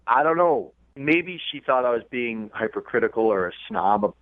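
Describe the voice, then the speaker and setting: A male caller on a thin, narrow phone line, medium in pitch. He talks casually and explains himself with a defensive, hedging manner. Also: lively intonation, moderate pace, no room sound. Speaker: radio call-in guest. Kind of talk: casual phone conversation, recounting. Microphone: telephone.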